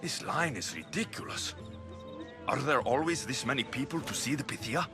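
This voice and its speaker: An actor playing a wary, vengeful young mercenary in a video game, with a blunt, guarded delivery. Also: male voice, mid-range voice, expressive, moderate pace, lightly acted. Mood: skeptical. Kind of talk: video game cutscene acting. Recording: music behind the voice.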